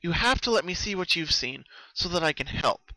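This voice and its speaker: A man plays a caring, studious female unicorn from a cartoon story, his pitch lifted and bright. She sounds earnest and articulate, explaining things like a concerned friend. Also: expressive, normal speed, no room sound, heavily acted, rough recording. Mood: concerned, pleading.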